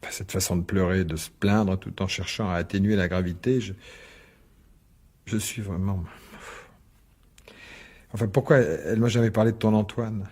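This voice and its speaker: A man's voice: sits low, fairly even intonation, normal speed, pauses often, a little mellow, husky. An actor playing an agitated, sarcastic middle-aged divorced father in a French radio drama, biting and insistent. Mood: worried, subdued. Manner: biting, insistent, repeats himself.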